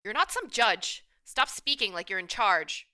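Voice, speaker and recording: A woman's voice: mid-range voice, big pitch swings, a little slow, bright, forceful tone, full character voice. A woman playing a bossy, blunt, hard-nosed restaurant manager in a radio play. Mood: firm. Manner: blunt, assertive.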